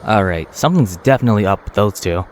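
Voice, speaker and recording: An actor voicing a calm, observant young traveling knight in a video game. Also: low voice, fast, noisy background. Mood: calm, concerned.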